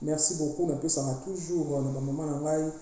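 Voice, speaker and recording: Woman, priestess, emphatic preaching, very dark mellow tone, heavy room echo. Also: deep voice, fairly even intonation, fairly quick, a little hiss or hum. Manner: emphatic, preachy, mixes languages freely. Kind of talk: spiritual exhortation to followers. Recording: very echoey room.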